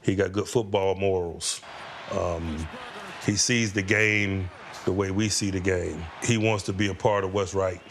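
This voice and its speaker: A man with a very low voice speaks in measured, short statements during an interview. Faint noise sits behind him. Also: steady tone, fairly bright tone. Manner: measured, short declarative sentences. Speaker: professional football head coach. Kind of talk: interview sound bite.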